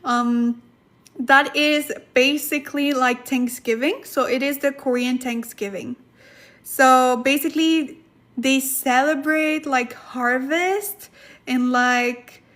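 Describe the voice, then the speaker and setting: High-voiced woman hosting a chatty live lesson. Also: a bit bright. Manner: chatty, laughs often, many fillers. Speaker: Korean language teacher, livestream host. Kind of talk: casual livestream lesson, reading chat comments.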